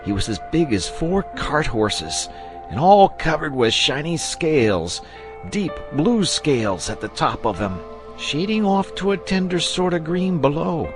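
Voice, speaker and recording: A man's voice: on the high side, sing-song, a little slow, over music, pitched above the performer's own voice. A man voicing an agitated, superstitious country shepherd in an audiobook, with rustic, exclamatory phrasing. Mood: agitated, wondering. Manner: rustic dialect grammar, exclamatory.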